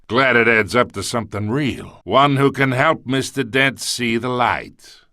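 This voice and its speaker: A man's voice: medium pitch, measured pace, pushed and bright, dry recording, clean studio sound. An actor playing a blunt, folksy, skeptical man in a video game, plain-spoken and punchy. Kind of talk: character dialogue acting.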